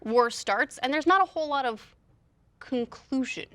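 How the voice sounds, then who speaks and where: A woman talking as a panelist in a casual analytical discussion, reasoning aloud in a measured way. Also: high voice, pushed and bright. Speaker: talk show panelist.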